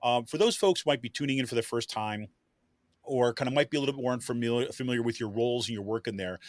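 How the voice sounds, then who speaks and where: A man's voice: mid-range voice, no echo. A man hosting a podcast interview, introducing guests in a loose, hedging way with many "kind of"s. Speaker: podcast host.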